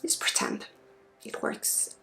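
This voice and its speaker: A woman from Sweden, a native Swedish speaker, guiding an ASMR energy healing session in a breathy, halting voice that often drops into a whisper. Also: a little hiss or hum. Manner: hushed, halting, intimate direct address. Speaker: spiritual healer and ASMR host.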